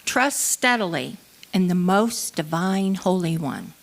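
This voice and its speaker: A woman giving a measured, deliberate dramatic reading of poetry. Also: on the low side, expressive, creaky. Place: church service.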